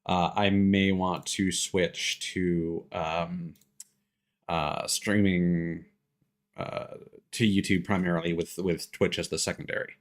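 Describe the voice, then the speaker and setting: A man talking casually as a live streamer, halting and hesitant as he thinks aloud. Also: sits low, level tone. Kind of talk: casual livestream chat.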